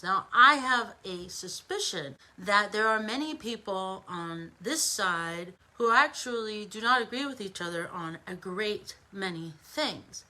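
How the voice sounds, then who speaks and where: Bright, forceful American woman commentator. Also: mid-range voice, rough recording. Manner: emphatic, conversational, rhetorical asides. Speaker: online commentator. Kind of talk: informal opinion monologue.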